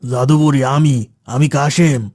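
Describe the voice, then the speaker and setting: A man's voice: a little high, level tone, normal speed, a little mellow, natural voice. A man voicing an envious, resentful schemer in a cartoon, speaking in an aggrieved manner. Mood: calling. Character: envious, resentful man plotting against his friend. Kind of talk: cartoon voice acting.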